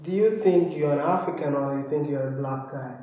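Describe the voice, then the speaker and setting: A man tells his stories with animation and puts questions to the group. His voice sits high and sounds far from the mic in a very echoey room. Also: brisk. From Ghana. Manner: animated storyteller, quizzing others. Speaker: discussion guest. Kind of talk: group discussion. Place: living room, indoors.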